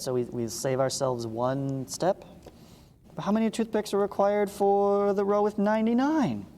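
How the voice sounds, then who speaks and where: Mellow male math teacher in a reverberant space. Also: on the high side. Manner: explanatory, checks understanding with short asides. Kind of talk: read-along lesson with casual asides. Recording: long reverberant echo, clearly noisy background.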